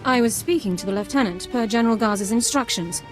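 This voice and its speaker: A woman's voice: a little high, fast, close to an everyday voice. Actress doing strict army sergeant lady, very by the book, game dialogue with music behind. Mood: coolly defiant. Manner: formal, regimented, clipped military phrasing. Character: crisp, by-the-book female military sergeant, formal and dutiful. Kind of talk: video game character acting.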